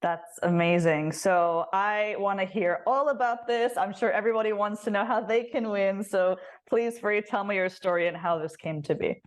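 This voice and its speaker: A woman's voice: mid-range voice, steady tone, moderate pace, hardly any pauses. A woman hosting a podcast interview, friendly, upbeat and encouraging toward her guest. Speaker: podcast host. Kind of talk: interview conversation.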